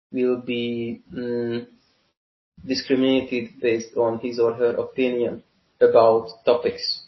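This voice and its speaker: A man of twenty-one from Hungary with a Hungarian accent, giving deliberate, halting explanations as an interview guest and repeating phrases. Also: mid-range voice. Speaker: interview guest, university student. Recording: roomy, muffled lo-fi call-like audio.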